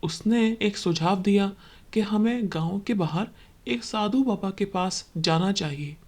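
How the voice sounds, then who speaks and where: A woman's voice: mid-range voice, measured pace, darkish tone, husky. Woman narrating a scripted story.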